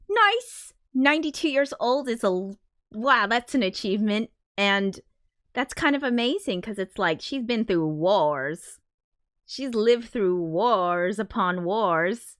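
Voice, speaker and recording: A woman's voice: mid-range voice, fairly bright tone, dry recording, clean studio sound. A young woman hosting her own livestream, chatting casually and a bit self-deprecatingly while a little sick and sniffly. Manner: chatty, self-deprecating, swearing, quick laughs. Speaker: livestream host.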